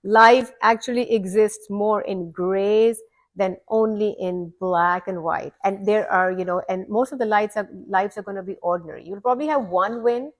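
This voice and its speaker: A woman's voice: mid-range voice, moderate pace. A woman hosting a casual interview, musing aloud and affirming her guest. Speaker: host.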